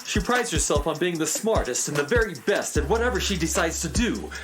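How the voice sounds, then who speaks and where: A woman's voice: on the low side, animated, fairly quick, noisy background, natural voice. A performer voicing a fussy, well-meaning space handyman in a children's radio drama, talking earnestly. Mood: explaining. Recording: music playing behind.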